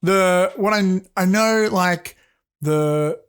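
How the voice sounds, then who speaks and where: A man's voice: high-pitched, very slow, fairly bright tone, clean tone. Rambling man with a sore throat. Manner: rambling, hesitant, swears. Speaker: podcast co-host. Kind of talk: casual chat.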